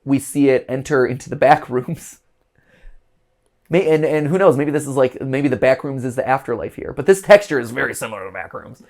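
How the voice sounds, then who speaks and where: Male host, mid pitch, casual reaction chat, playful and animated. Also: fairly quick. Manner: animated, jokey, breaks into silly voices.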